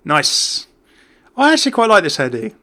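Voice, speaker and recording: A man's voice: high voice, wildly swooping pitch, normal speed, sharp tone. A British man hosting a gaming video with chatty, casual, off-the-cuff commentary. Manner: chatty, easily amused.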